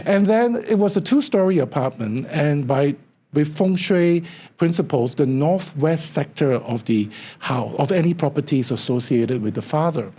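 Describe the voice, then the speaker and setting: A man, a feng shui consultant telling his story as an interview guest, animated and acting out what others said. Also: a little high, moderate pace, breathy edge. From Singapore. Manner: animated storyteller, acts out quoted remarks with a lifted voice.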